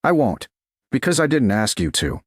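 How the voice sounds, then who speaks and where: A man voicing a composed, restrained duke who speaks quietly and with measured care. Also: medium pitch, sing-song, no room sound, studio quality, voice pushed up. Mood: calm, steady. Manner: quiet, measured, softly spoken. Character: composed restrained male duke, gentle and exact.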